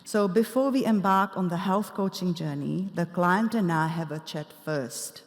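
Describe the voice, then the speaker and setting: The long reverb of a big hall surrounds a woman presenting at a conference. She speaks in a lowish voice with an Eastern European accent. Her delivery is explanatory and a little halting, like a coach walking through prepared notes with asides. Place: large indoor conference hall.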